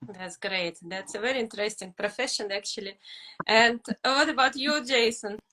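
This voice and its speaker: A woman hosts an interview and puts polite, structured questions to her guests. Her voice is a little high and has a bright, forward tone. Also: moderate pace. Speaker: interview host. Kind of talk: hosted interview.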